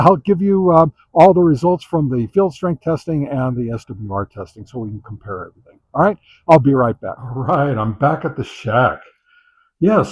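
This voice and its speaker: Casting: male hobbyist reviewer, casual and halting, circles back over his points, muffled low-fidelity sound. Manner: halting, repetitive, hedging explainer. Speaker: reviewer presenting a radio antenna test.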